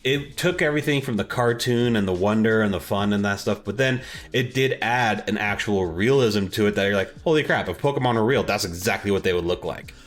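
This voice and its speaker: A man's voice: on the low side, fairly quick, hardly any pauses. A young man co-hosting a casual podcast, rambling and restarting his sentences as he searches for words. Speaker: podcast co-host. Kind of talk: casual chat.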